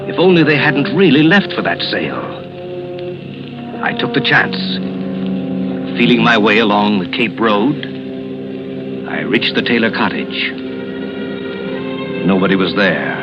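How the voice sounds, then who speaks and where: An actor playing an anxious lawyer who narrates a murder mystery gravely and dramatically in an old-time radio suspense show. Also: male voice, fairly low pitch. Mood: grave, fearful. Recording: muffled vintage radio recording, loud music.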